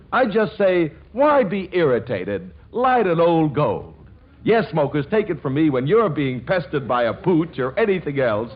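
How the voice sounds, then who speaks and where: A man announcing on 1940s radio, folksy and emphatic as he delivers a comic sponsor pitch. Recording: muffled, slightly noisy 1940s radio recording.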